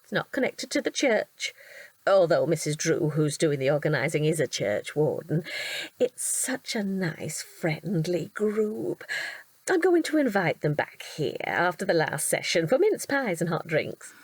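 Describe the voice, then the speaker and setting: A woman playing a cheerful middle-aged British housewife who loves Christmas, chatty and gently chiding, in an audio drama. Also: low-pitched, sing-song, a little slow, breathy edge, no room sound, full character voice.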